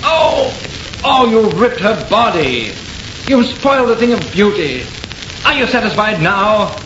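Passionate, disbelieving artist, slightly high voice, melodramatic, echoing vintage radio horror. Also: male voice, very animated, unhurried, exaggerated voice. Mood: agitated. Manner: melodramatic, emotional. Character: sensitive, disbelieving male artist, passionately protective. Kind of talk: 1930s radio horror acting. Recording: muffled, noisy, echoing old radio recording.

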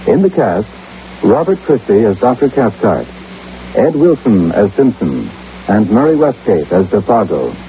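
A man's voice: lowish voice, very animated, measured pace, mellow. A man as a radio announcer, formally reading credits in an old-time broadcast style. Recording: muffled old radio recording, faint hiss.

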